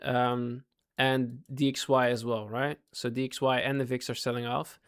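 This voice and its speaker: A man's voice: medium pitch, little pitch movement, moderate pace, fairly bright tone, smooth. A man doing casual live commentary on his trades for a chat audience. He is losing his voice, repeats himself and keeps asking viewers if they follow. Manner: talks in fits and starts, repeats himself, asks chat to follow. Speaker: day trading livestreamer.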